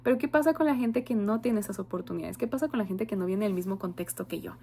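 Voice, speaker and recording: Earnest young Mexican woman presenting, mellow. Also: mid-range voice, fast talker, creaky. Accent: Mexican Spanish. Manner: earnest, reflective, frequent "am" and "em" fillers. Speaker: university student. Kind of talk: oral class presentation.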